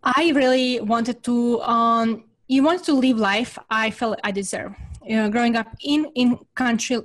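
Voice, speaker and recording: Woman, Serbian accent, slightly high pitch; earnest interview guest who keeps checking in with "right?". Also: a little slow. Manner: earnest, repeats "right?" often. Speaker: guest entrepreneur. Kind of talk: motivational interview talk.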